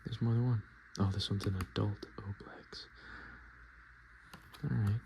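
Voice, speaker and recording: A man with a fairly low voice speaks softly in casual ASMR commentary, slipping often into a whisper. His voice is heavily creaky, and he murmurs in a halting, hesitant way. There is clear noise in the recording. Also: steady tone. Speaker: ASMR video host. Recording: noticeable background noise.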